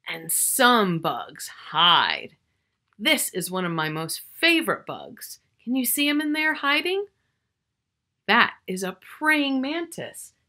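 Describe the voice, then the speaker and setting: A woman's voice: medium pitch, sing-song, takes it slowly, pushed and bright. A middle-aged woman reading a picture book aloud to young children, expressively, drawing out key words and chatting to the listener. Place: small furnished room at home, indoors.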